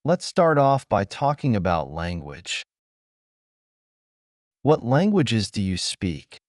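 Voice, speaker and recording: Male examiner, formal measured reads, lively intonation, dry sound. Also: lowish voice, dry recording. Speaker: examiner and channel host. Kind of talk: scripted exam questions.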